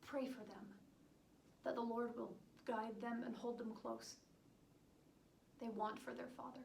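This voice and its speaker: Woman acting as a proud devoted country wife in an old-time stage monologue, highish voice, formal wording, echoey and far from the mic. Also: measured pace, a bit bright. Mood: tender, burdened. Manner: formal old-fashioned diction, earnest. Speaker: stage actress performing monologues. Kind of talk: theatrical period monologue. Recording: echoey room, distant mic, background noise.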